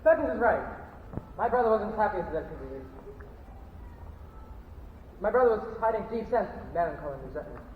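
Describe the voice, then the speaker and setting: A man's voice: high voice, big pitch swings, a little fast, pauses often, slight background noise. A young man acting a haughty, scheming brother of the deceased in a melodramatic, declamatory stage farce style. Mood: indignant, accusing. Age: teenager playing an adult. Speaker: high school student actor. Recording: muffled, echoey hall rehearsal from far off.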